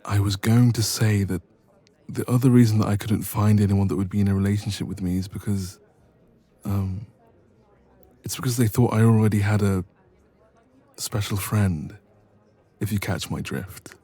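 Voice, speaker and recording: A man's voice: lowish voice, fairly even intonation, normal speed, pauses often, dark tone, slightly rough. A man playing a bashful, evasive best friend with a secret crush in an intimate romance audio roleplay. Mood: embarrassed, hesitant. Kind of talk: romance ASMR roleplay, intimate one-sided conversation.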